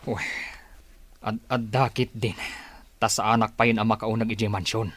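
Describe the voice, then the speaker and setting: A man's voice: lowish voice, level tone, normal speed, fairly bright tone, slightly rough, no room sound. An actor playing a defensive, agitated male henchman in a radio drama, making excuses. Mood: uneasy.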